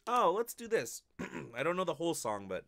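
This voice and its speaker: A man's voice: on the high side, sing-song, normal speed, gravelly. A man in his thirties chatting casually to viewers in a rambling, self-deprecating way with plenty of swearing, close on a studio mic in a bedroom. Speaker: vlogger and amateur ukulele player. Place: bedroom, indoors. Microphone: studio condenser mic up close.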